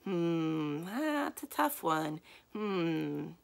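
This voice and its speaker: A woman's voice: lowish voice, big pitch swings, very slow, gravelly, full character voice. A woman voicing a friendly young teenage boy with a casual, playful manner in a storybook. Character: friendly, playful eighth-grade boy working at bakery counter.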